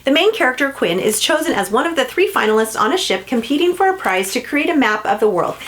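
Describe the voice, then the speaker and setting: A woman's voice: sits high, sing-song, fast talker, fairly bright tone. A woman giving an upbeat, enthusiastic scripted promotional narration, like a children's book seller. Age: adult, parent of a school-age child.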